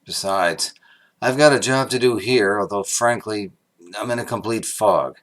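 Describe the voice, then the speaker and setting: A man's voice: fairly low pitch, unhurried, a bit bright. A man playing a plain-spoken, practical police inspector in a mystery drama, matter-of-fact and informal. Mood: relieved, frank. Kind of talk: radio mystery play acting.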